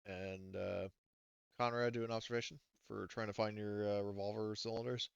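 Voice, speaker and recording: A low, smooth male voice, close and dry on a slightly dull microphone. He works as game master, thinking aloud and pausing often as he sorts out rules for the table. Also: level tone, no room sound. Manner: halting, thinking aloud while adjudicating rules. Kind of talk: running a tabletop role-playing game.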